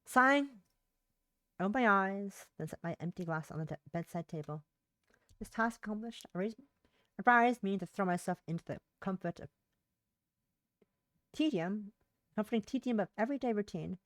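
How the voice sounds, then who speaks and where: A woman's voice: mid-range voice, animated, normal speed, pauses often, a bit bright, no room sound, low-quality recording. A woman livestreamer reading a visual novel's narration aloud, stumbling over words and rereading phrases, with brief casual asides.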